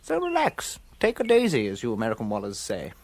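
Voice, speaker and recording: An actor playing an eccentric upper-class gentleman adventurer, jovial and by turns commanding and offhand, in a radio drama. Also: mid-range voice, huge pitch swings, a little fast, background noise. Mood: breezy, unruffled.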